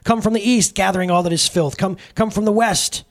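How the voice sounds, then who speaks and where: A man's voice: high-pitched, steady tone, a little fast, pitched above the performer's own voice. A man voicing an arrogant female spirit, a doting mother who summons and gloats in a screeching, dramatic storytelling manner. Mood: summoning, elated.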